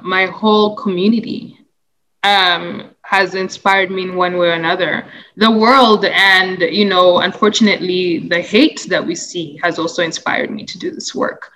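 Young woman from Uganda, interviewee, mid-pitched, earnest and reflective, lots of ums and restarts. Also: fairly bright tone, poor audio. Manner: thoughtful, earnest, many fillers and restarts. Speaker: interviewee, anti-racism advocate. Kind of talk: conversational interview answer.

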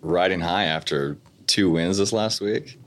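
Deep-voiced guy guesting on a backyard pod, low-key, trails off and restarts a lot. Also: measured pace, fairly bright tone. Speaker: podcast guest. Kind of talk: casual podcast chat.

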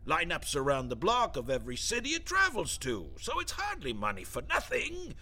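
An actor playing an evasive, genteel deputy minister in a satirical audio drama, wordy, formal and hesitant, with a drily macabre, blandly menacing air. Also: male voice, fairly high pitch, huge pitch swings, moderate pace, sharp tone, exaggerated voice. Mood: smooth reassurance.

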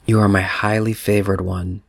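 A man voicing God the Father as a tender, loving male spirit, repeating gentle affirmations. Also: lowish voice, flat delivery, moderate pace, gravelly, dry recording.

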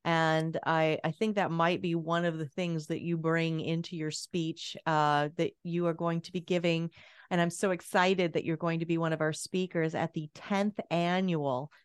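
A woman's voice: low voice, little pitch movement, normal speed, smooth, no room sound, studio quality. A woman hosting a talk radio show, upbeat and chatty, with some fillers as she introduces the guest. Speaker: podcast host.

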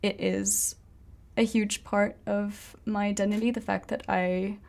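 A woman's voice: mid-range voice, little pitch movement, unhurried, creaky, a little mellow. A young woman from France speaking English with an American accent, earnest in casual conversation. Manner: earnest, repetitive restarts.

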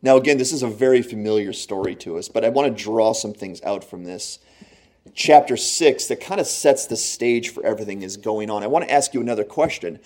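Pastor, male, warm mellow tone, earnest and conversational, slows down for emphasis. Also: lowish voice. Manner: earnest, conversational preaching with slow emphatic phrases; laughs at own jokes. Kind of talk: sermon.

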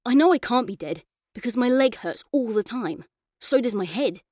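A woman's voice: a little high, animated, normal speed, a little mellow, no echo, studio quality. A woman voicing a thoughtful, cheeky young boy who narrates his own story in an audiobook, in short emphatic phrases. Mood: matter-of-fact. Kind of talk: first-person audiobook storytelling.

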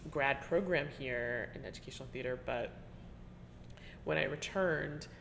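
A woman interviewing a colleague in a relaxed, chatty way, often trailing off and restarting and laughing as she talks. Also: a bass voice, measured pace, creaky, slight background noise. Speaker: interviewer, teaching artist. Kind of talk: conversational interview. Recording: reverberant room with faint ambience.

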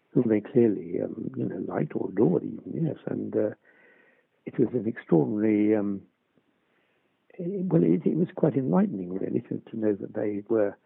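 An older actor reminiscing in an interview, hesitant with many restarts and chuckles. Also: male voice, lowish voice, gravelly, slightly rough, no echo. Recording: dry, tinny phone-like line.

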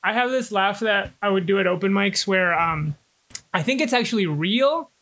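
A young man co-hosting a podcast in casual chat, with a high-pitched voice and a loose, joking way of talking. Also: normal speed, pushed and bright. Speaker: podcast co-host. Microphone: own home recording setup.

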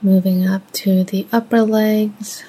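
A soft-spoken woman leading a guided meditation in gentle, measured phrases with long pauses. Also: medium pitch, little pitch movement, slightly rough.

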